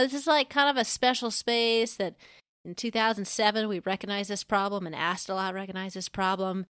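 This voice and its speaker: A woman's voice: mid-range voice, a bit bright, no echo, studio quality. A woman co-hosting a podcast, discussing things in a casual, opinionated and informal way. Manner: informal, frequent swearing. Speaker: podcast co-host.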